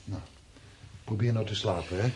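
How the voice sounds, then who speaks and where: A man's voice: on the low side, moderate pace, lightly acted. An actor playing a weary, defensive, practical man in a radio play, reassuring but turning irritable when challenged. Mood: tired, practical. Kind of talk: naturalistic radio play acting. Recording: noisy radio play recording with background ambience.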